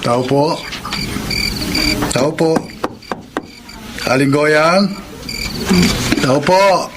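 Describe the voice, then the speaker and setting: A haunted, frightened man in a radio horror play, his voice pitched high. He talks to himself in halting, dramatic phrases, with loud sound effects behind him. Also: heavy background noise. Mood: uneasy, musing. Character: frightened man discovering he is a monster.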